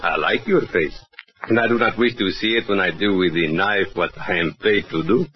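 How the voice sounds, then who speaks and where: An actor playing a calm, amused Mexican hired killer who speaks broken English with a Mexican Spanish accent, in 1940s radio acting. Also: male voice, sits low, moderate pace, natural voice. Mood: calmly menacing. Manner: broken English, chuckling, matter-of-fact menace. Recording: dull old radio broadcast with faint hiss.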